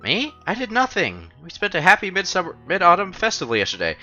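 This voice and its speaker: A man's voice: high-pitched, fast, lo-fi sound. A man streaming a visual novel, reading it aloud dramatically, acting out the lines and adding casual asides. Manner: animated, acts out protagonist's lines. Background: soft game music.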